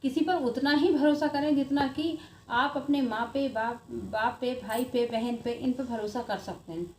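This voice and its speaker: A woman's voice: high-pitched, normal speed, a little mellow. A woman giving informal vlog commentary in an earnest, emphatic way that often circles back on itself. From India. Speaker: video blogger. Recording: echoey room with faint background noise.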